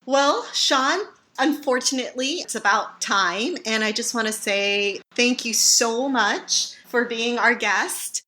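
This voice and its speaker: A woman's voice: mid-range voice, bright, forceful tone, slight background noise, poor audio. A woman hosting a podcast, talking to guest and listeners in a conversational style. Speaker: podcast host. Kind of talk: conversational interviewing and sign-off.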